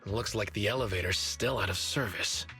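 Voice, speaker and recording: A man's voice: on the low side, normal speed, vocal fry, pushed and bright, faint music behind. A man voicing a muscular, earnest young teammate in an anime video game, straightforward and matter-of-fact. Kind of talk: English video game voice acting.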